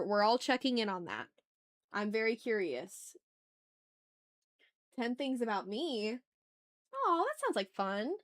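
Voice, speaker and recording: A woman's voice: on the high side, no room sound, clean studio sound. A woman chatting casually and playfully with her viewers as she hosts a live art stream. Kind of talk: casual livestream chat while drawing.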